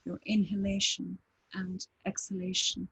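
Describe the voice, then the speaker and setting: A woman leading a guided breathing meditation, giving slow, calm, gentle instructions with long pauses. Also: mid-range voice, creaky, slight background noise, low-quality recording. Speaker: meditation teacher.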